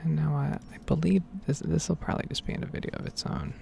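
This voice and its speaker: A man giving hesitant, rambling game commentary in a soft-spoken, whispered ASMR style. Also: fairly high pitch, lively intonation, fast talker, gravelly, a little hiss or hum. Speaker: gaming video host.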